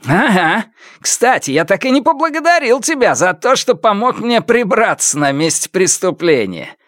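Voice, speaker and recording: A man voicing a frantic, wounded villain in an audiobook, hoarse and breathless, with a taunting, raised voice. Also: extremely high pitch, big pitch swings, unhurried, no echo, studio quality, pitched above the performer's own voice, full character voice. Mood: gloating. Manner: shouting and taunting. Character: frantic wounded male villain, gloating and enraged.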